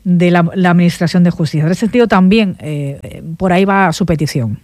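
A man's voice: high-pitched, a little fast, darkish tone, no echo, studio quality. A male radio interviewer asking questions hesitantly, with lots of fillers.

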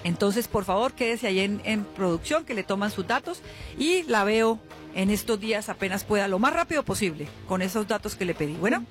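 Lady psychic on a call-in radio show, consoling and familiar, normal pitch, bit of music under her. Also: animated, a little fast. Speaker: spiritual healer and radio host. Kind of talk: live call-in psychic consultation and promotion. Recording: music bed behind voice.

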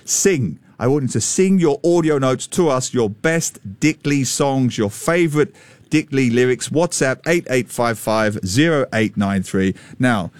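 Energetic male talk-radio host with a bouncy delivery and close, dry sound. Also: mid-range voice, measured pace, dry recording. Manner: energetic, teasing, enthusiastic. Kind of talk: lively radio talk show. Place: radio studio.

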